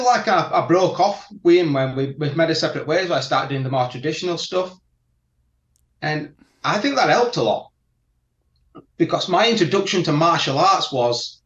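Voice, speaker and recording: A man with a Yorkshire accent tells stories in a fairly high voice. He speaks slowly and carefully so that each word comes through. The sound is dull and lo-fi, in a room with plenty of echo. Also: fairly bright tone. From England (Barnsley, South Yorkshire). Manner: deliberate, laughing storyteller. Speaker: guest martial artist. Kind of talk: casual interview chat. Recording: echoey room, dull lo-fi audio.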